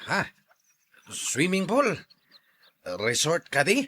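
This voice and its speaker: Eager, high-voiced man, very animated. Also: slow pace, exaggerated voice. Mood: curious, deferential. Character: eager, inquisitive, deferential man talking to his betters. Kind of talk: radio drama acting.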